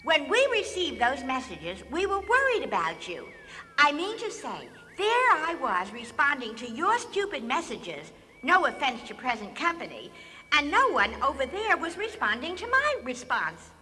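A woman's voice: high voice, wildly swooping pitch, moderate pace, bright, forceful tone, big-room sound, a little hiss or hum, heavily acted. A woman playing a naive, fretful female alien in a comic radio drama, politely earnest and full of questions. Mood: worried. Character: naive, fretful blue-green female alien from a distant planet. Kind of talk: comic radio theater acting.

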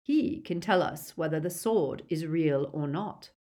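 A woman's voice: low-pitched, expressive, measured pace, mellow. Aristocratic male villain voiced by a woman, cold, commanding, middle-aged, storybook character.

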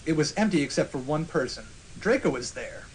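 A man narrates amateur fiction as a podcast host, playing it mock-serious for comedy. His voice is slightly high, and the recording has faint noise. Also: animated, a little fast. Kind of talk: comic dramatic reading of fan fiction.

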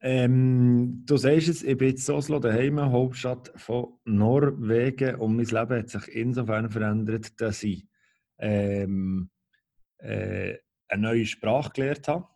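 A Swiss German man hosting a casual call, speaking in a thoughtful, measured way with fillers. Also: mid-range voice, fairly even intonation, mellow. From Switzerland. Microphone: video call.

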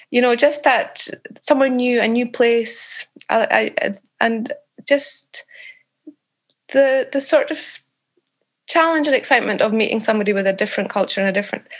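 Woman, Scottish accent, reflective, hesitant, many fillers. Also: on the high side. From Scotland. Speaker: author guest. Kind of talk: reflective interview answers.

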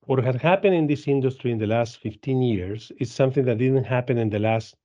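A man in his fifties from Argentina with an Argentine Spanish accent, answering interview questions in halting English with many repeats and fillers. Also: mid-range voice, mellow, lo-fi sound. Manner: halting, frequent repeats and "uh", laughs easily. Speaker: guest, dairy genetics business director.

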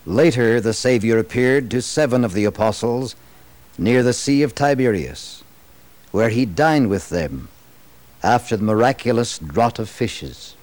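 Slow, solemn male radio narrator. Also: medium pitch, expressive, darkish tone. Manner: solemn, measured, theatrical storytelling. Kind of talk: dramatized scripted narration, 1960s religious radio.

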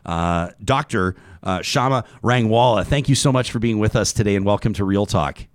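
A man hosting a conversational interview, feeling his way through his questions in a halting manner. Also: on the low side, no echo, clean studio sound. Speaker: talk show host.

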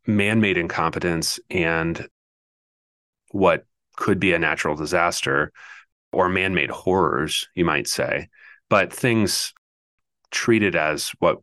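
A man co-hosting a podcast, explaining things in a thoughtful, steady way during a casual theological discussion. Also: sits low, dry recording, clean studio sound. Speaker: podcast co-host.

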